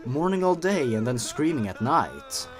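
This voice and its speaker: A man's voice: medium pitch, very animated, measured pace. A young man narrating and commenting on an online horror story, expressively and with playful, wry asides. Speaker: YouTube host and narrator. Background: background music.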